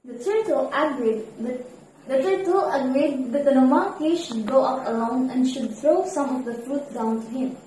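A woman reads a children's fable aloud as narrator in a high voice. She sounds far from the mic, in a very echoey room. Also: dark tone. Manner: read-aloud storytelling. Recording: echoey room, distant mic, background noise.

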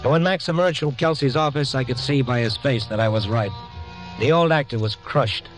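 An actor playing a brisk, concerned, hard-boiled reporter in a vintage radio drama. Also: male voice, medium pitch, soft background music, lightly acted, poor audio. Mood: tense narration. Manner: punchy, urgent, streetwise. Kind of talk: 1950s radio drama narration and dialogue.

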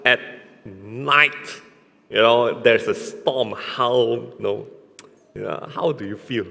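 A man in his thirties with a Malaysian accent preaching a church sermon in short, halting, colloquial phrases, pausing for an interpreter. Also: mid-range voice, creaky, pushed and bright. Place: large church hall, indoors, on stage. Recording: echoey hall with long reverb.

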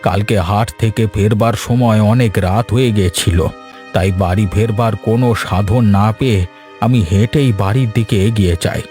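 A man's voice: fairly low pitch, steady tone, moderate pace, darkish tone, lightly acted. A man voicing a frightened, earnest elderly villager in a cartoon, telling things in a plaintive, insistent way. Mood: shaken, plaintive. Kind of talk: Bengali cartoon voice acting. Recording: background music bed.

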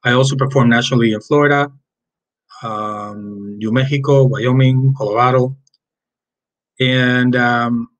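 A man's voice: mid-range voice, poor audio. A man in his fifties from Cuba with a Cuban Spanish accent, a native Spanish speaker introducing himself as a Spanish teacher to his students in a chatty, friendly way. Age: 50s. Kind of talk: recorded class introduction over slides.

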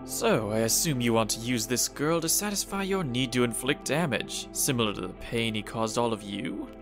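A man playing a suave, sinister gentleman in a comic dub, theatrical and mockingly polite. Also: mid-range voice, lively intonation, normal speed, gravelly. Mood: smooth, controlled. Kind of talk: comic dub character acting. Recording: voice over background music.

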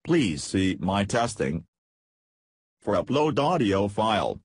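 Low, husky man narrating a tutorial. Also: very animated, slow, no room sound, clean studio sound. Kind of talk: scripted screen-recording tutorial narration.

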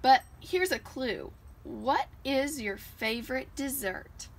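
A woman voicing a gentle, playful mother bear in a children's storybook, with a reassuring, coaxing manner. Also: high-pitched, lively intonation, slow, voice pushed up, full character voice.

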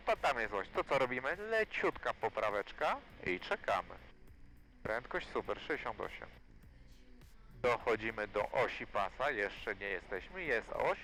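A man working as a flight instructor, coaching calmly in an encouraging, repetitive way inside a small aircraft. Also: medium pitch, moderate pace, poor audio. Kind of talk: hands-on coaching during flight. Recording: music behind, aircraft cabin audio.

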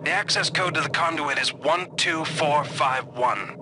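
A man's voice: fairly high pitch, measured pace, noisy background, close to an everyday voice. An actor playing a breezy, casual male ship's medic in a game audio log.

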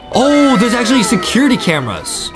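A man in his twenties giving excited gameplay commentary, eagerly repeating his phrases. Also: very high voice, moderate pace. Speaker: gaming video host. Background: faint game music.

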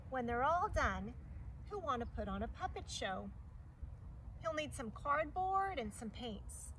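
A middle-aged woman reading a picture book aloud to young children in an animated, deliberate, instructive teacher's manner, with asides to her listeners. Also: high-pitched. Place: outdoors on a lawn by a hedge. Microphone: camera a few metres away. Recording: distant mic, outdoor ambient noise.

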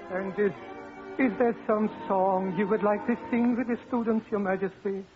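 Man acting gentle deferential doctor, high hesitant voice, dull old radio, music behind. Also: steady tone, normal speed, close to an everyday voice. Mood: gentle deference. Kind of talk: 1950s radio drama acting.

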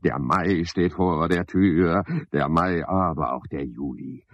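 An actor playing an eccentric, sardonic man in a radio play, rambling to himself and to unseen callers in a husky voice. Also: low voice, slow pace, muffled recording, full character voice. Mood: feverish, mocking. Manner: sardonic, brooding, musing aloud in fragments.

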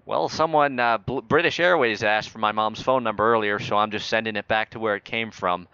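A man's voice: fairly low pitch, fairly quick, bright, forceful tone, smooth, dry recording, muffled recording. A man talking as an air traffic controller in a flight simulator game, joking in mock-official radio phrasing.

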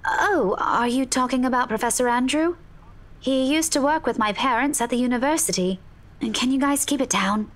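Woman playing a high-voiced, irritable young woman. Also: moderate pace, close to an everyday voice. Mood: startled, peeved. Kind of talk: visual novel voice acting.

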